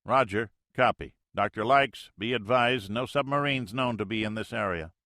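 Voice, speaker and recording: Man voicing a clipped, businesslike Navy pilot on the radio; higher than the narrator's own voice, bright. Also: normal speed, no echo, studio quality. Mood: terse.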